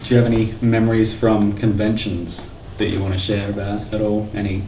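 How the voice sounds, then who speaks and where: A male podcast host with a fairly low voice, chatting casually. He sounds some way from the microphone in a reverberant room. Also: completely flat delivery, normal speed, dark tone. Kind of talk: casual interview chat. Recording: echoey room, distant mic, faint noise.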